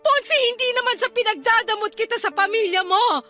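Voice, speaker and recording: A woman's voice: extremely high pitch, expressive, fairly quick, sharp tone, soft background music, heavily acted. A woman playing an emotional young wife and mother in a Filipino radio drama. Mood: hurt, pleading. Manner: emotional, reproachful. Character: frustrated young wife and mother, hurt, pleading.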